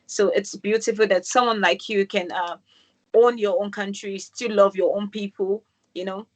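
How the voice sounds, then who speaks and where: A woman's voice: mid-range voice, fairly even intonation, moderate pace. A woman, from Manchester, hosting an interview show and effusively praising her guest. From England, Manchester. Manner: effusive, keeps calling things beautiful, many "um"s. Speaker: host. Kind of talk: interview host wrap-up.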